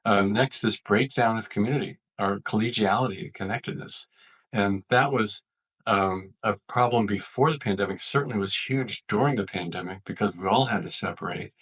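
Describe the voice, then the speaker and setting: A man with a fairly low, husky voice speaks as an expert guest. He lays out his points methodically and earnestly in an explanatory interview register. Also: moderate pace, fairly bright tone, rough recording. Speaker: physician, author and leadership expert interviewed as guest.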